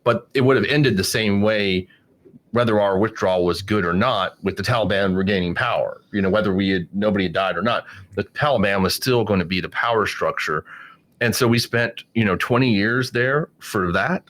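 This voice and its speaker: Man in his forties, very low voice, rambling podcast talk, lots of restarts. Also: a little fast, fairly bright tone. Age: 40s. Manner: rambling, many restarts and fillers. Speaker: podcast guest. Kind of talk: casual political chat.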